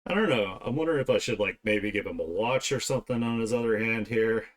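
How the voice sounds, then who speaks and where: A man's voice: fairly low pitch, fast talker. A man chatting casually on a livestream as he draws, with blunt, slangy, profane talk. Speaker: streamer, digital artist. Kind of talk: casual livestream chat while drawing.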